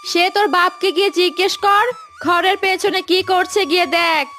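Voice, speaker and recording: A woman's voice: very high voice, fairly even intonation, normal speed, pushed and bright, faint music behind. A woman playing a practical, admonishing village wife and mother in a cartoon family drama. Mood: exasperated, scolding.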